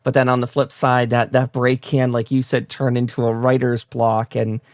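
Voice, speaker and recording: A man's voice: medium pitch, little pitch movement, normal speed, darkish tone, no echo, clean studio sound. A man hosting a conversational interview, talking in a discursive way and repeating words as he forms his thoughts. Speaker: talk show host, interviewer.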